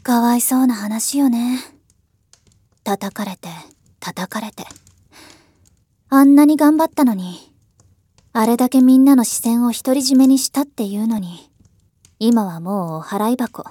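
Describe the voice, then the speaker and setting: A woman's voice: a little high, dark tone, slightly rough, no room sound. A woman playing a world-weary, ironic elder sister in a voice drama, musing wistfully. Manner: slow, wistful, rhetorical musing. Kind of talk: Japanese voice drama acting.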